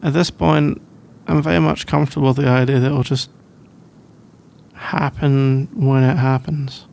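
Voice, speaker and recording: Casting: male actor as drowsy young British student boyfriend, easygoing, halting, gravelly, unhurried delivery for intimate ASMR roleplay. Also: medium pitch, fairly even intonation. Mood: flustered, amused. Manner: hesitant, halting, rambling with soft laughs.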